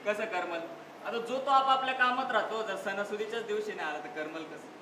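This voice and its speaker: Man playing a high-voiced humble rustic family man. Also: close to an everyday voice. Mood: plaintive. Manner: plain-spoken, heartfelt, deliberate. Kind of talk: live one-act stage play acting. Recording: echoey distant stage recording with noise.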